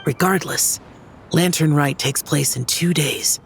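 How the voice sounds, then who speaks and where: A man's voice: on the high side, unhurried, gravelly, fairly bright tone, a little hiss or hum. A man voicing a serious, reserved, youthful immortal warrior in a game dub, solemn and guarded in manner. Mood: pensive, resolute. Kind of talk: video game dub acting.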